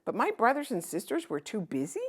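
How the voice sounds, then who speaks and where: Storybook orphan girl, played by a woman, eager and gentle, timid in asking, very animated intonation. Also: on the high side, fairly quick, breathy edge, pitched above the performer's own voice, heavily acted. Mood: earnest, wondering. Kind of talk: children's storybook read-aloud.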